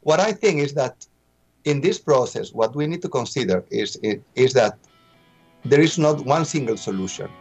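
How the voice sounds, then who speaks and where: A man's voice: medium pitch, lively intonation, normal speed, a little mellow, soft background music. A man who is not a native English speaker, giving explanatory interview answers as an expert, often searching for words and repeating himself. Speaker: interview guest, population expert.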